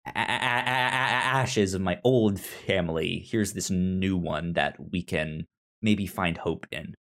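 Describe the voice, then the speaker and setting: A man's voice: low voice, measured pace, fairly bright tone. A man chatting casually as a podcast host, with a stutter, often acting out imagined dialogue. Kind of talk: casual TV discussion chat.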